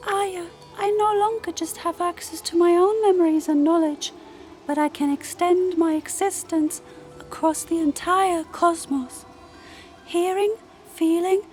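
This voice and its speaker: A woman's voice: very high-pitched, steady tone, darkish tone. A woman playing a serene, lofty female moon spirit in an audio drama, speaking formally and solemnly over music. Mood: solemn, confessional. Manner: formal, solemn, measured storytelling. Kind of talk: audio drama character monologue. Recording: music behind the voice.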